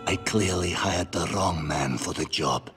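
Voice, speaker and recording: An actor playing a ruthless middle-aged warlord in a video game, cold, volatile and contemptuous, speaking in a deliberate, menacing way. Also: male voice, deep voice, loud music behind the voice. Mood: contemptuous impatience. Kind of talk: video game cutscene acting.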